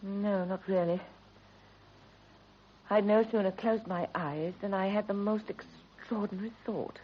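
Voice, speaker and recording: An actress playing a worried, shrewd and loyal British wife in a period radio drama, earnest, deliberate and probing. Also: female voice, mid-range voice, steady tone, lightly acted. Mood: uneasy, probing. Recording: dull vintage radio recording, faint hiss.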